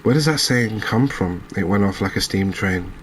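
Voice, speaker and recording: A man's voice: lowish voice, animated, normal speed, a little hiss or hum. A man hosting a cozy ASMR gaming video, commentating on his play in a rambling, musing way with mild exclamations. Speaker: gaming channel host.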